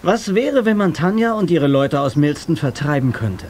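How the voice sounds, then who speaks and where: Guy acting as a calm investigator hero in an audio drama, asking probing stuff, highish voice, bit of tape noise. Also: moderate pace, natural voice. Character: determined, calm male investigator hero seeking answers. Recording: faint noise, cassette transfer.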